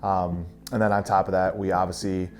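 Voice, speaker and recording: A man in his thirties, an assistant football coach, talking casually and haltingly in an interview. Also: low-pitched, level tone, soft background music. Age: 30s. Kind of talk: documentary interview.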